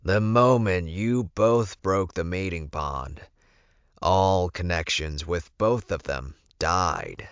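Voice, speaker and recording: A man voicing a stern, disappointed inner wolf spirit in an audiobook, scolding slowly and deliberately. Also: low voice, smooth. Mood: grim, dismissive. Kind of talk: audiobook character voice. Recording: muffled, boxy, echoey room recording.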